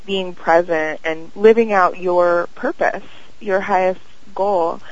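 A young woman, a guest on an interview, who talks in a dreamy, halting way full of fillers and pauses. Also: on the low side, fairly even intonation, no echo. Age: young adult. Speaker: guest, singer and actress. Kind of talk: casual interview chat. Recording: dull lo-fi audio.

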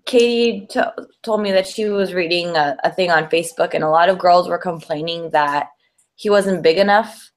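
A woman's voice: on the low side, moderate pace. A woman co-hosting a podcast, chatting casually and enthusiastically about films, peppering her sentences with "like". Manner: enthusiastic, informal, heavy use of "like". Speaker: podcast co-host.

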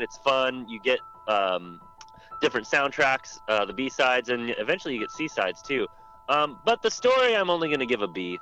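A man with a very bright, forceful voice and an average pitch, talking casually about games on a podcast. He tells stories in an animated way, and the recording is a little dull and lo-fi. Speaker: podcast panelist reviewing games.

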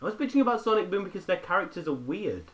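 A man's voice: sits high, big pitch swings, rapid-fire, crowd noise. Teasing young man hosting a home podcast. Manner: bossy, teasing, profane. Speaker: podcast host. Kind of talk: casual banter among friends. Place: a bedroom at home. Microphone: laptop with a desk mic.

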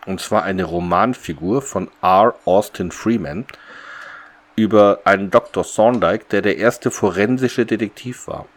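A man's voice: fairly low pitch, unhurried. A man co-hosting a podcast, talking through a story in a casual, explanatory, conversational way. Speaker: podcast co-host. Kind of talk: casual discussion of an audio drama's plot.

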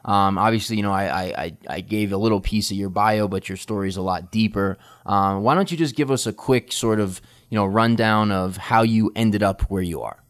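A man hosting a podcast interview, talking casually with many ums and restarts. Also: lowish voice. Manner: halting, many fillers and restarts. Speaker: podcast host.

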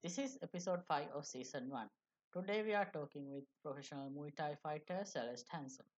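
A podcast host asking plain, hesitant questions with fillers. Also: male voice, a little high, brisk. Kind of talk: interview questions. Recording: dull lo-fi with clear background noise.

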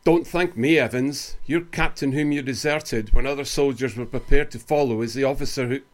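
A man's voice: medium pitch, normal speed, natural voice. A man playing a stern, impatient senior army officer in a radio play, curt and scornful with authority. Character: stern, impatient senior British army officer presiding over court-martial. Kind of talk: radio drama acting.

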